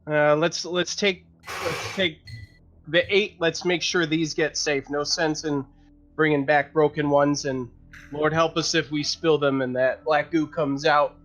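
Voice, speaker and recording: A man playing a tabletop roleplaying game, talking casually and haltingly, partly in character. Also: on the high side, level tone, fairly bright tone. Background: background music.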